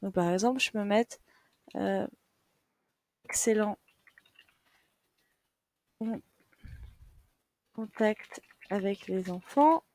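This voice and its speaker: A woman's voice: mid-range voice, drawn-out words, pauses often, dark tone, no room sound. A young woman giving a patient, step-by-step screen tutorial as a teacher, with many fillers. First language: French. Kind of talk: screen-share tutorial lesson.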